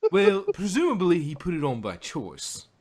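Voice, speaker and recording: Man, fairly high voice, lively intonation, unhurried halting delivery, casual host banter. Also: gravelly. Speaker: host of an audio drama review.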